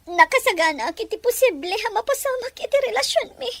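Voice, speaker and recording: A woman playing a gentle, poor young sweetheart in a melodramatic audio drama, plaintive and emotional. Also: very high voice, lively intonation, fairly quick, exaggerated voice. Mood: tearful, resigned. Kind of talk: melodramatic radio-style drama acting.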